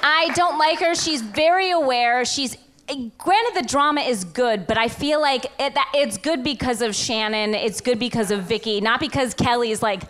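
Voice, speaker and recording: A woman's voice: a little high, moderate pace, a bit bright. A woman co-hosting a live comedy podcast on stage, bantering in a wry, exaggerated way. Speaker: podcast co-host. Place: on stage in a theater. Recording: live theater with audience laughter and noise. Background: laughing crowd.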